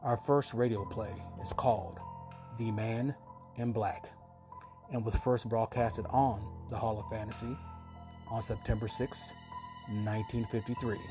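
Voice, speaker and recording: Guy in his 50s hosting, casual intro, talks slow, music under him. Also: lowish voice, steady tone. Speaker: podcast host. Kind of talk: casual scripted show introduction.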